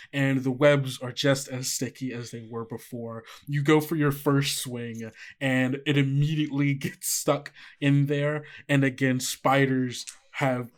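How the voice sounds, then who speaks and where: Male game master, mid-pitched voice, improvising scene narration in casual, piecemeal phrasing. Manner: conversational, piecemeal narration peppered with "like". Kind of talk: narrating a tabletop actual-play game, improvised.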